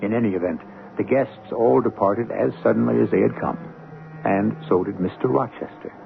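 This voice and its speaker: Gravelly-voiced man, ominous old radio host. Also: lowish voice. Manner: measured, ominous, theatrical. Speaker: radio drama host and narrator. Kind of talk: scripted dramatic narration, 1970s radio mystery. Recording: tinny band-limited 1970s radio broadcast.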